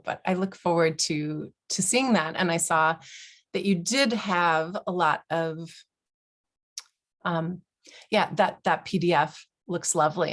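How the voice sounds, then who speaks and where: Woman host on a video call, lowish gravelly voice, warm encouragement to participants. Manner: encouraging, reassuring, thoughtful. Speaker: host and quilt teacher. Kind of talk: hosting a video call workshop.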